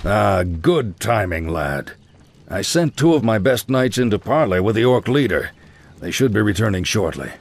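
Old paladin commander, low voice, stern, fatherly, measured, fantasy game. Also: animated, vocal fry. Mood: grave, fatherly.

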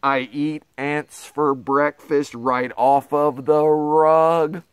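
A man voicing a silly, boastful robot monkey from a children's storybook, loud and exaggerated. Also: fairly high pitch, little pitch movement, very slow, clear, resonant tone, dry recording. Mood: silly singsong.

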